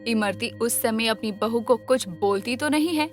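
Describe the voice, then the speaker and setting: A woman narrates a scripted story in a high voice. Faint music runs underneath her telling. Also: normal speed.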